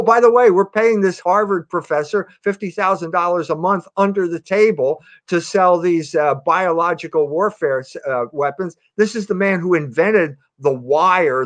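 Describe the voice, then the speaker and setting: A man comments on politics in a talk-show style. His voice sits a bit higher than most men's. He speaks conversationally but emphatically, often repeating a phrase to press it. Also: moderate pace, few pauses, fairly bright tone.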